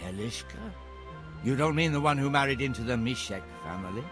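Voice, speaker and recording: An actor playing a crotchety, weary old record keeper in a video game, grumbling in a patronizing way. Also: male voice, mid-range voice, lively intonation, brisk, over music, lightly acted. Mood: fond, softened. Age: older. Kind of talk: video game cutscene acting.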